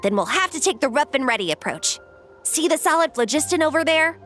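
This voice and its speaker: Bright, lively engineer. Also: female voice, high-pitched, a little fast, faint music behind. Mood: explaining. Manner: precise, explanatory. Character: careful, technical-minded engineer woman. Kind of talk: video game voice acting.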